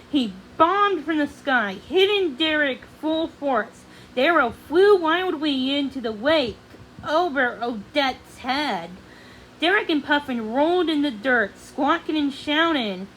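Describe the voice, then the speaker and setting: A woman's voice: high-pitched, animated, takes it slowly, a bit bright, slight background noise, low-quality recording. A woman narrating a storybook read-along in a dramatic manner, occasionally stumbling over words.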